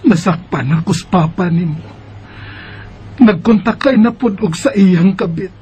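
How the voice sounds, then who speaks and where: A woman's voice: on the low side, measured pace, mellow. A woman with a Cebuano Filipino accent playing a forgiving, self-sacrificing middle-aged mother in a Bisaya radio drama. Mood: concerned. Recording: muffled lo-fi radio drama, noisy background.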